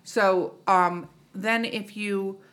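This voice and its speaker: A woman's voice: mid-range voice, fairly even intonation, extremely slow, a bit bright. A woman hosting a podcast interview in a casual, informal and teasing way. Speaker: podcast host.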